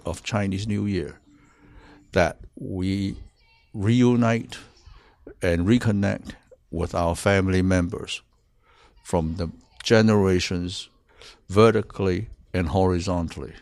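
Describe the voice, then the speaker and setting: Man, low voice, very slow and measured, dry close sound. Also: darkish tone, no echo. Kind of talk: interview clip.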